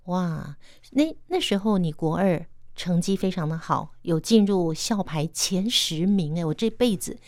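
Female radio interviewer, Taiwanese Mandarin accent, mellow tone, dry studio sound. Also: fairly low pitch, animated, a little slow, no echo.

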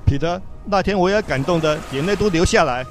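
Male actor as old fisherman, high voice, admonishing, steady, theatrical, biblical radio drama. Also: fairly quick, faint music behind, close to an everyday voice. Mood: impressed.

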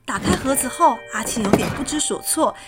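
A woman reading a children's story as narrator in an expressive, scripted storybook style. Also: sits high, fairly quick. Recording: music behind the voice.

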